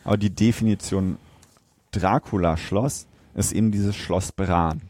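A man with a German accent hosting a podcast, explaining facts in a casual, halting way. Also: low voice, fairly even intonation, a little mellow, no echo. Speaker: podcast host.

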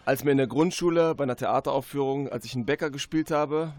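A man from Germany being interviewed as an author, giving short quick-fire answers. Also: mid-range voice, a little mellow, dry recording. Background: faint music.

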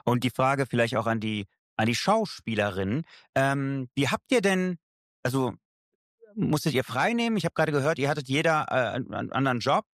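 A man's voice: medium pitch, expressive, a little fast, no room sound, studio quality. A man from Germany hosting a casual radio interview, talking hesitantly with many fillers and switching between German and Italian. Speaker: radio host. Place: radio studio.

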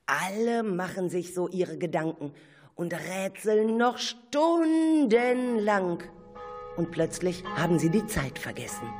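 A woman's voice: lowish voice, very animated, takes it slowly, a little mellow, faint music behind. A woman narrating a children's radio play in a scripted storytelling style.

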